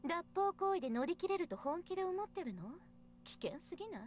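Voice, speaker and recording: Sly, provocative visual novel schemer: high voice, very animated pitch, condescending and sure of herself. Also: female voice, racing, a little hiss or hum. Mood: scornful, challenging. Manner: condescending, teasing, self-assured. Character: haughty, sly, provocative young woman. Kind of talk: Japanese visual novel voice acting.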